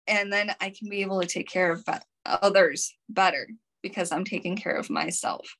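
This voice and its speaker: A woman's voice: medium pitch, moderate pace, fairly bright tone. A woman with a Minnesotan accent co-hosting a casual podcast, telling her stories earnestly and with emphasis. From Minnesota, United States. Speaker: podcast co-host.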